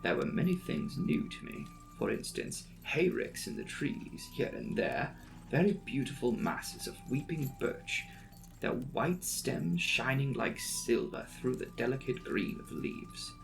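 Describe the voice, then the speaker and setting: A man reads a classic gothic novel as a theatrical storyteller. His mid-pitched voice swings very widely in melody and often drops into a creaky, gravelly fry. Music plays softly behind the narration. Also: rough recording. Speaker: audiobook narrator. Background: background music.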